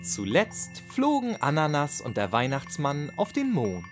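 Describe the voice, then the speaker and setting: A man's voice: a little high, wildly swooping pitch, unhurried. A man narrating a scripted bedtime story for children. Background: music.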